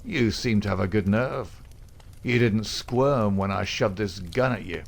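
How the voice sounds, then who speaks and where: Man doing a gruff bullying gang boss, gravelly and loud, storybook reading. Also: fairly low pitch, measured pace. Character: rough, domineering middle-aged male gang boss.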